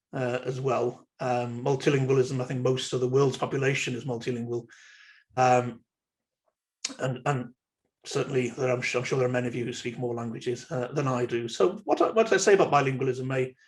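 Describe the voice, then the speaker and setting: A man with a Welsh accent gives an online lecture, thinking aloud as he goes. His voice sits at a middle pitch, and his speech is unhurried and dotted with uhs and ums. He sounds like he is recorded on a computer mic for a webinar. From Wales. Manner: measured, thoughtful, many ums and uhs. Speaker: university lecturer.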